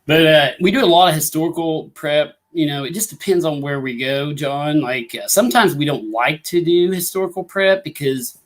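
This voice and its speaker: A hoarse man with a Midwestern American accent, fighting a cold and clearing his throat as he hosts a casual live show, rambling with lots of fillers and reading viewer comments. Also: mid-range voice, fairly bright tone. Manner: rambling, many fillers like "you know" and "uh". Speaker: ghost hunting talk show host.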